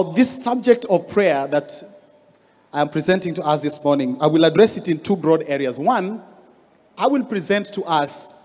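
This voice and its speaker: A man's voice: on the high side, darkish tone. A Kenyan man in his thirties, a pastor telling a story in a sermon with rising emphasis, on a handheld mic. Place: large church hall stage. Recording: long hall reverb.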